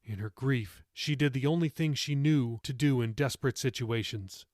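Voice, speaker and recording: Male author-narrator for audiobook fiction, medium pitch, dramatic scripted read, very little room sound. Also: moderate pace, a little mellow, dry recording, clean studio sound. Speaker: author narrating his own novel.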